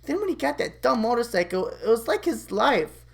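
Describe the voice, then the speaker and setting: Woman acting dramatic cartoon young woman, athletic type, emotional, stammering, pitched up. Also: big pitch swings, moderate pace, creaky. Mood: bitter.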